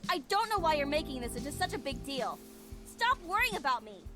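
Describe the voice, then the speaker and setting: A woman's voice: high-pitched, big pitch swings, brisk, exaggerated voice. A woman voicing a stubborn, proud and easily flustered girl in an anime-style game, with a defensive, clipped manner. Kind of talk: voice acting in an anime-style visual novel. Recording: game music behind the voice. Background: loud game music.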